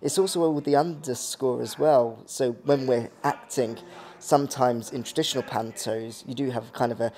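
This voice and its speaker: A man's voice: mid-range voice, normal speed, darkish tone. A man who acts in pantomime, giving a casual explanation in an interview. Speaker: pantomime actor.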